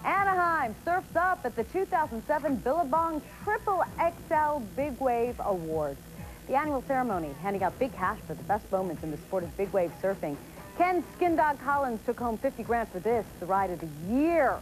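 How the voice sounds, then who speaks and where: A woman's voice: a little high, big pitch swings, normal speed, rough recording. An American woman presenting light TV news headlines from a script. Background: faint music.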